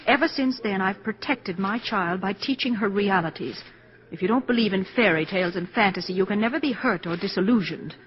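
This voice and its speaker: An actress playing a brisk, practical businesswoman and mother, polite but firm, in 1940s radio style. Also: medium pitch, lightly acted. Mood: guarded, firm. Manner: polite, firm, matter-of-fact. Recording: dull 1940s radio recording.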